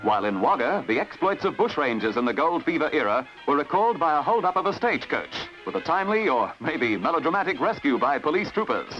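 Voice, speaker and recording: Australian man, newsreel narration, animated rising and falling pitch, fairly high voice. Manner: brisk announcer delivery with lively intonation. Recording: dull lo-fi archival newsreel with music.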